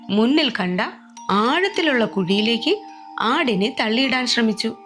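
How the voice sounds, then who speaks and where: A woman storyteller narrating a scripted children's tale in an expressive, dramatic way. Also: on the high side.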